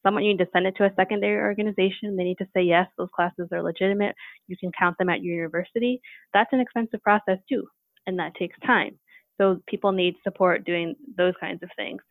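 A woman's voice: medium pitch, fairly even intonation, quick pace, gravelly, dry recording. A woman speaking as a guest expert in a podcast conversation, explaining at length and often checking in with "right?". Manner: thoughtful, explanatory, many "right?" tags. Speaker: guest expert on teacher preparation. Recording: thin phone-like line, dry.

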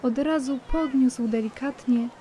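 A woman's voice: high voice, measured pace, mellow, slight background noise. A woman narrator reading a scripted children's story. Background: music.

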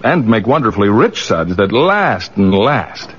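A man's voice: fairly low pitch, sing-song, a little slow, fairly bright tone, slight background noise. A man announcing scripted advertising patter in the style of 1940s radio. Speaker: commercial announcer. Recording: muffled old radio recording.

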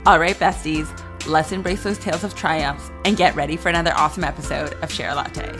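A woman's voice: deep voice, fairly even intonation, quick pace, music in the background. A woman hosting a podcast, introducing her guest and reacting with upbeat, gushing enthusiasm. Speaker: podcast host.

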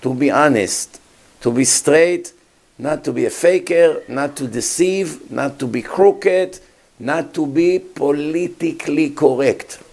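Guy preaching, slightly high voice, keeps firing questions at the crowd. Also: takes it slowly, a little mellow. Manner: emphatic, rhetorical questions to the audience. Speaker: rabbi giving a talk. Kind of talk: sermon.

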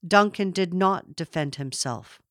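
A woman narrates literary fiction in a dry, studio-like sound. Her delivery is slow and measured, with expressive storytelling and a slightly low voice. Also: no room sound. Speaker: audiobook narrator.

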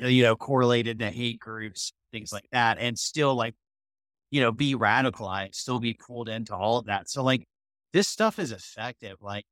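Halting man in a dry-sounding space. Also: mid-range voice, a bit bright, dry recording. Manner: halting, hedging, searching for words. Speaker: podcast guest. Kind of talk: casual opinionated discussion.

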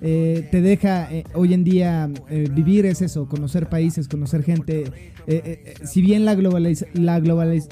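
A man's voice: a little high, fairly even intonation, moderate pace, warm tone. A young man with a Mexico City accent hosting a podcast, joking around in loose, slangy casual chat, a bit tipsy. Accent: Mexico City Spanish. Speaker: podcast host.

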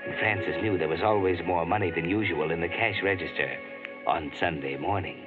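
An actor playing a mysterious male narrator who walks by night, with slow, ominous storytelling in 1940s radio narration. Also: low voice, natural voice. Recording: tinny old radio broadcast with music.

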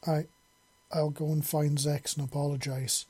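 A man's voice: on the high side, unhurried, mellow, husky. Man voicing a sweet, meddling young daughter. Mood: chastened. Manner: sweetly teasing. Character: cheeky, meddling young daughter, sweet-voiced, easily chastened.

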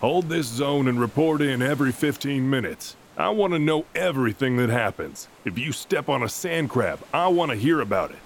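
A man's voice: medium pitch, animated, moderate pace, slight background noise, lightly acted. A man acting a stern, commanding military officer in an audio drama, giving clipped, authoritative orders.

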